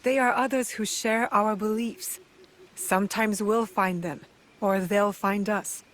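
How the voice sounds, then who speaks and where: A woman's voice: medium pitch, fairly even intonation, unhurried, a bit bright, lightly acted. An actress playing a young, resolute and loyal female shinobi in a game cutscene, earnest and direct. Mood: calm, kind. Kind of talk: dramatic game cutscene acting.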